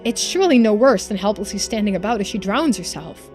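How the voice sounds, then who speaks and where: A woman's voice: mid-range voice, animated, fast talker, a little mellow, faint music behind, lightly acted. A woman voicing a guilt-ridden, determined schemer in a mystery game, reading the dialogue aloud with earnest theatricality. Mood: anguished resolve. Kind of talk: dramatic read-aloud of visual novel dialogue.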